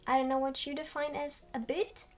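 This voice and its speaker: Soft-spoken high-voiced young woman. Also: flat delivery. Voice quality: soft, quiet voice. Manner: slow, rambling, many ums. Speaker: streamer. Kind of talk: soft-spoken livestream chat. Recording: distant from mic.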